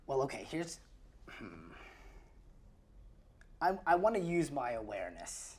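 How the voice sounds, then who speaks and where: Low-pitched female game player, lively intonation, casual, playful, thinking out loud. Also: a little slow, slightly rough. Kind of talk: casual improvised game talk.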